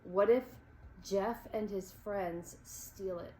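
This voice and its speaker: A woman's voice: mid-range voice, fairly even intonation, takes it slowly, dark tone, reverberant, a little hiss or hum, lightly acted. A woman voicing an anxious, fretful schoolboy from a children's storybook. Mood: worried. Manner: worried, questioning.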